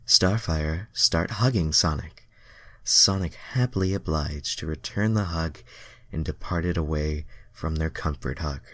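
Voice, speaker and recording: Male amateur narrator, low pitch, slow delivery, irreverent, self-deprecating, casual asides. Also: no echo. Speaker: amateur fan fiction reader.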